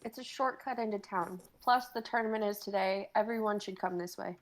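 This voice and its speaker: A woman's voice: medium pitch, brisk, heavy background noise, lightly acted. Breezy underworld guide read by a woman. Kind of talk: dramatic script reading.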